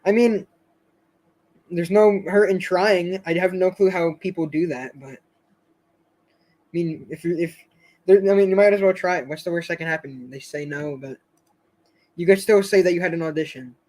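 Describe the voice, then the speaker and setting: A man hosting a casual live stream, chatting with viewers in a rambling, hesitant way full of fillers and restarts, his voice going a bit hoarse. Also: high-pitched, moderate pace, pauses often, darkish tone, poor audio. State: slightly hoarse, losing his voice.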